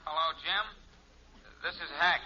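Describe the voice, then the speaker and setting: An actor playing a fretful, urgent friend on the phone in an old radio sitcom. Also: male voice, a little high, fairly even intonation, measured pace, pushed and bright, lo-fi sound. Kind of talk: 1950s American radio sitcom acting. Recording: band-limited, faintly noisy vintage radio recording.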